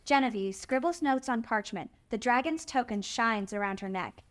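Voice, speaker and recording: A woman's voice: a little high, normal speed. A woman narrator reading out the stage directions and scene headings of a screenplay.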